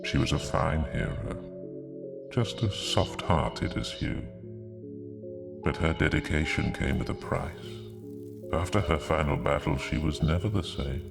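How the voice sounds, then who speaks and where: A man's voice: a bass voice, a little slow, vocal fry, full character voice. A man playing a menacing video game villain who speaks in a sinister, mockingly formal way. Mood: sinister mock warmth. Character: menacing male villain running a deadly arena. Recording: music under the voice.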